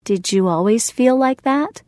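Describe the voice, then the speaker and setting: A woman's voice: on the high side, animated, unhurried, a little mellow, dry recording, clean studio sound, voice pushed up. A woman reading the part of an earnest, appreciative teenage girl in a storybook, sincerely. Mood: curious.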